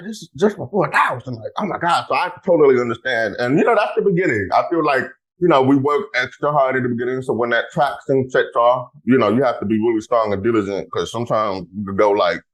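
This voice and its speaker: A man hosting a casual podcast, talking in an animated way, exclaiming loudly and speaking straight to his listeners. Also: mid-range voice, fast, poor audio. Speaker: podcast host.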